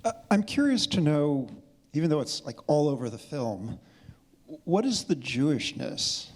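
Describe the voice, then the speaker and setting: A man's voice: a little high, sing-song, measured pace. Man posing a tentative audience question. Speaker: audience member asking a question.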